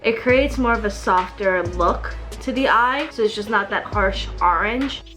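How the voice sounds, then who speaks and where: A woman's voice: mid-range voice, unhurried, sharp tone. A woman talking casually as the host of a makeup tutorial, explaining each step in a chatty way. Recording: music behind the voice. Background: music.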